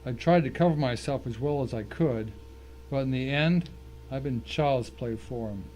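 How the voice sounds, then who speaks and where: Male narrator, average pitch, scripted dramatic storytelling, slightly noisy humming recording. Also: moderate pace, darkish tone.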